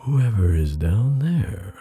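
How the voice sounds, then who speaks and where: A man voicing a shy, lonely hermit in a bedtime storybook, hesitant and warming to friendship. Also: fairly low pitch, big pitch swings, takes it slowly, faint music behind, pitched above the performer's own voice. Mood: wary wonder.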